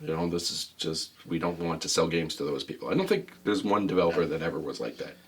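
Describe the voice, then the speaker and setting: A man with a deep voice co-hosting a casual gaming podcast, jokey and rambling, laughing often. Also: lively intonation, quick pace. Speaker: podcast co-host.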